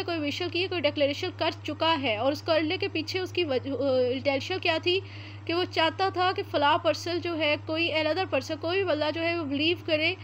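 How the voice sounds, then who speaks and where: A woman with a Pakistani Urdu accent giving an explanatory law lecture, reading English statute text slowly word by word with frequent "uh" fillers. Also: high voice, fairly bright tone, a little hiss or hum.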